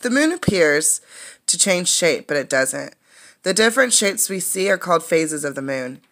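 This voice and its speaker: A woman with a low-set, noticeably creaky voice reads a children's science book aloud. She sounds like a teacher, explaining as she goes and pausing to comment or pose questions. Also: big pitch swings, a bit bright.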